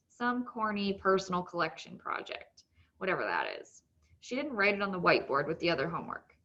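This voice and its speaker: A woman's voice: lowish voice, fairly bright tone, lo-fi sound. A woman reading a children's novel aloud as scripted narration.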